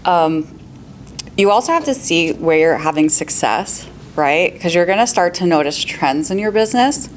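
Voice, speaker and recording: A woman's voice: on the low side, animated, moderate pace, slight background noise. A woman, a real estate agent on a panel, giving upbeat, practical advice with frequent laughs and questions to the audience.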